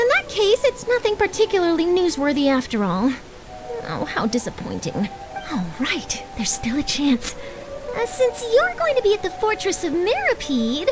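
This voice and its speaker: An eager young newswoman from a video game, speaking in a very high, bubbly voice. Her delivery is nosy and theatrical, leaping up and down in pitch. Music runs clearly behind her. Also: sing-song, normal speed, low-quality recording. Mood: disappointed, hopeful. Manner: bubbly, dramatic, gushing. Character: eager young female newspaper reporter, perky, nosy, theatrical. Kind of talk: animated video game voice acting.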